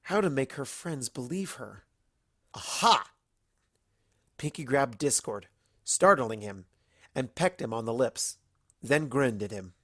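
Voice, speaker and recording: A woman's voice: extremely low pitch, animated, takes it slowly, long pauses, a bit bright, husky, no echo, clean studio sound. A woman narrating a comic story as a reader, in a storytelling style. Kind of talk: lively narration of a comic fan fiction story.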